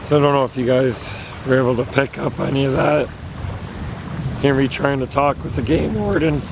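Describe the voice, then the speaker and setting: A man with a hoarse, failing voice, chatting casually to viewers while paddling a canoe on a river. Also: mid-range voice, moderate pace. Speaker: vlogger on a solo canoe trip. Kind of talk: casual talk to camera. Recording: outdoor noise of wind and water. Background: wind and water noise.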